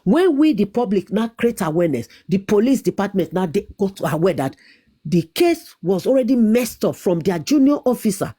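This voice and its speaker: Nigerian woman, young adult, insistent debater who won't yield the floor; mellow, animated delivery. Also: mid-range voice, moderate pace, husky. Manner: insistent, talks over interruptions, repeats herself. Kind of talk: heated debate.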